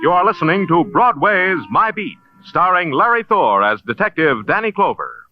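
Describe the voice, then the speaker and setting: A man's voice: high voice, very animated, moderate pace, loud music behind the voice. A man announcing in a promotional old-time radio style. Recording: dull old radio broadcast.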